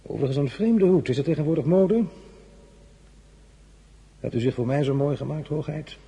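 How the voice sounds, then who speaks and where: An actor playing an imperious, coldly mocking emperor in a radio drama, speaking in a pointed, sarcastic, deliberate way. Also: male voice, on the high side, expressive, vocal fry, dark tone, breathy edge, dull audio, full character voice. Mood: mocking, accusing. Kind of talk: radio play acting.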